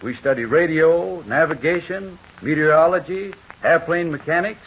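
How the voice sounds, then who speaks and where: A man's voice: fairly high pitch, moderate pace, a bit bright. A polite, earnest young man from North Carolina, answering a scripted radio interview on an old recording. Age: young adult. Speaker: guest student pilot. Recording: dull old radio transcription with faint hiss.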